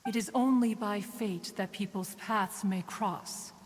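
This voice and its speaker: A woman's voice: mid-range voice, unhurried, natural voice. A woman playing an ancient, dignified crane immortal in a video game, speaking in a formal, archaic and haughty manner. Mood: earnest. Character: dignified, proud crane adept, ancient female immortal master. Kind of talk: scripted video game voice acting. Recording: long reverberant tail, music underneath. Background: background music.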